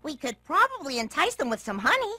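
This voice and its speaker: High-voiced, prim, brainy cartoon boy. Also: wildly swooping pitch, fast, bright, forceful tone, exaggerated voice. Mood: pedantic. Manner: precise, pedantic, fussy. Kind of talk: cartoon video game acting.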